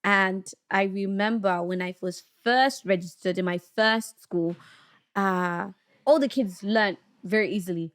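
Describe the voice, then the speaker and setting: A woman in her thirties from Zimbabwe, a guest telling stories with lively energy in a podcast studio. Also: mid-range voice, measured pace. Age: 30s. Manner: lively storytelling, acting out voices. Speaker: guest broadcaster. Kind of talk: casual conversation. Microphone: studio mic.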